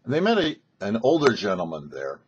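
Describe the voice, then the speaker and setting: A man's voice: fairly low pitch, wildly swooping pitch, brisk, slight background noise. An American man hosting and narrating a religious story in an anecdotal way, acting out bits of dialogue. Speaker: host and storyteller. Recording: slightly dull lo-fi recording.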